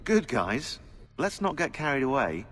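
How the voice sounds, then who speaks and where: Sarky british assassin researcher in a video game, chatty oddball, really lively up and down voice. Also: male voice, medium pitch, a little slow. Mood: sarcastic, argumentative. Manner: sarcastic, opinionated, chatty. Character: sardonic, eccentric male conspiracy researcher turned assassin. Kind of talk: game cutscene dialogue.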